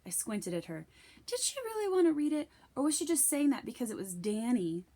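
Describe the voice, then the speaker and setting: A woman giving an expressive scripted reading of a children's novel as narrator. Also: high-pitched, fairly quick. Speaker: storybook reader.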